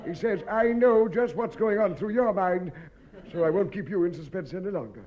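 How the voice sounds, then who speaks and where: High-voiced, solemn solicitor. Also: male voice, expressive, slight background noise. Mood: solemn, formal. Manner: formal, measured, mournful. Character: fussy, formal male family solicitor, solemn and sentimental. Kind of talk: 1950s British radio sitcom acting. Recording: dull, muffled old radio recording.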